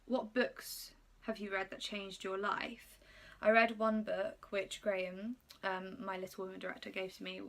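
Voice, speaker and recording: A woman's voice: medium pitch, fairly bright tone. A woman in her twenties with Polish as her first language, chatting casually as she reads out and answers get-to-know-me questions with plenty of ums. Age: 20s. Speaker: YouTuber.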